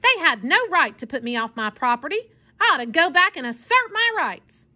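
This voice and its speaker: A grumbling old hobo with a soft heart, acted out by a woman reading a storybook. The voice is bright and sits rather high, swinging widely in pitch as he exclaims with emphasis. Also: very animated, unhurried, exaggerated voice. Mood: indignant. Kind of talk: expressive children's storybook reading.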